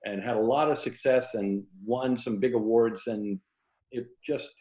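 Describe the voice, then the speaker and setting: A man's voice: mid-range voice, steady tone, a little slow, mellow, reverberant, dull audio. A middle-aged or older man from Florida talking casually and reflectively as an interview guest, telling stories about his own life. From Tampa, Florida.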